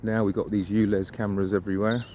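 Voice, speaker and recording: A man with a fairly low voice chats off the cuff while out walking. He muses and gives his opinions freely, with the odd mild swear word. Faint outdoor noise sits behind him. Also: little pitch movement, fast, mellow. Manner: musing, opinionated, mildly sweary. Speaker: vlogger. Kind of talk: casual rambling walk-and-talk monologue. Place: outdoors, walking suburban riverside streets. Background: outdoor ambience.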